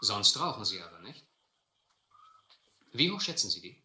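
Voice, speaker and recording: A man acting a shrewd, adventurous seafarer in a radio drama, deliberate and controlled with a touch of irony. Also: lowish voice, big pitch swings, sharp tone, full character voice. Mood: coolly confronting. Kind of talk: radio drama acting, first-person narration and dialogue. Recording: roomy, slightly noisy old radio-play recording.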